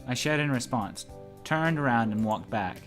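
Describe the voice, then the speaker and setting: A man's voice: medium pitch, animated, moderate pace, over music. Man reading a game story aloud. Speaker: gaming video player reading aloud. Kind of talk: visual novel narration read aloud, playful.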